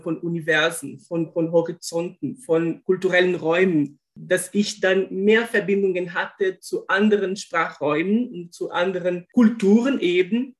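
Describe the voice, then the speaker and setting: A man with a notably high voice speaks German as an in a conversational interview. He is thoughtful and searches for his words, often restarting phrases. His carries traces of Portuguese. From Brazil. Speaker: guest, linguist and German teacher.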